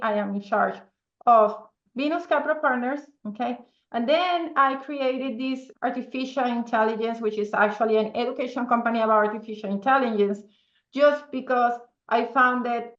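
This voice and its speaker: A woman with a strong Venezuelan Spanish accent, her voice set a little high. She talks in an easygoing interview manner, tagging her points with "okay?" and laughing easily. The audio is roomy and slightly dull. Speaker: podcast guest, entrepreneur. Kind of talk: casual interview talk.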